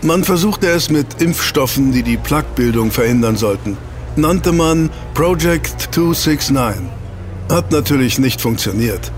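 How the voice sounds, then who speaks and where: A man's voice: a little high, expressive, measured pace, faint music behind. A man playing an eager researcher in a German audio drama, explaining to his team and teasing out reveals with rhetorical questions. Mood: explanatory.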